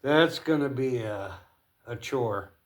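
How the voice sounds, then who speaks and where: A man casually hosting a hands-on repair demonstration, talking in short, halting phrases as he thinks aloud. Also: medium pitch, animated. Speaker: repair tutorial host.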